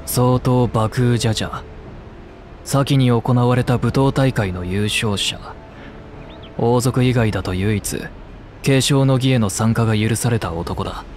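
A man's voice: mid-range voice, measured pace, darkish tone, slight background noise, lightly acted. An actor playing a knowledgeable male traveller in a fantasy game, explaining things bluntly and matter-of-factly. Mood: calm, matter-of-fact. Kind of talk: scripted game cutscene dialogue.

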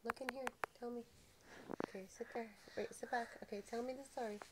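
A woman's voice: on the high side, fairly even intonation, brisk, very noisy. A woman talking casually with a young child, encouraging with short reactions and questions, recorded in a room at home.

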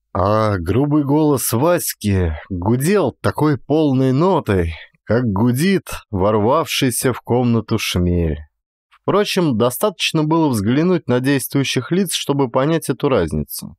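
A man reading a classic story as an actor-narrator, with expressive scripted delivery. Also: medium pitch, no room sound, studio quality. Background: music.

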